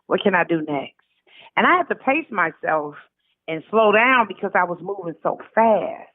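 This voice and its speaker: A woman's voice: mid-range voice, animated, normal speed, dry recording. A woman guest speaking casually and emphatically over the telephone in an interview, repeating her points and acting out quoted remarks. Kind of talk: casual conversational interview answers. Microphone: telephone call. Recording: tinny narrow phone line.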